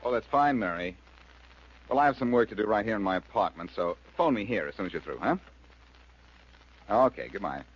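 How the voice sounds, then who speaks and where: An actor playing a cocky, breezy amateur detective and reformed thief in 1940s radio style. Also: male voice, on the low side, lively intonation, fairly quick, long pauses, natural voice. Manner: breezy, teasing, self-assured. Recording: noisy, muffled old radio recording.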